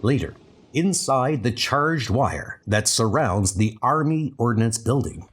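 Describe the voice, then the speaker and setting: A man's voice: mid-range voice, animated, takes it slowly, a little hiss or hum. A man reading dramatic scripted narration for a comic book story.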